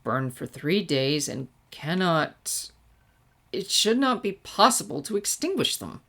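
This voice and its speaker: A woman voicing a grave, dangerous male ninja prisoner in a fan fiction reading, slow, measured and terse. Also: lowish voice, sing-song, creaky, a bit bright, exaggerated voice. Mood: grave, puzzled.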